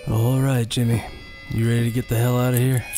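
A man playing an easygoing, wry freed convict in an audio drama, laid-back and gravelly. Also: medium pitch, flat delivery, a little fast, natural voice. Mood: wry, relaxed. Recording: music under the voice.

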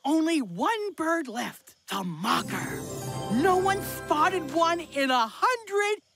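An excitable cartoon boy camper, bird-crazy and always eager. The voice is very high and bounces all over the place, and it comes with loud music underneath. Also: slow, full character voice. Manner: excitable, squealing bird calls.

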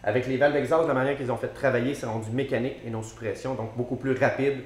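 Québécois shop guy in his 30s rattling off tech details fast, echoey garage sound. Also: medium pitch, level tone. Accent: Québécois French. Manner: quick, confident, technical. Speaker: dealership specialist. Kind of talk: technical explanation in interview. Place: indoor metal-walled workshop. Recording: echoey workshop.

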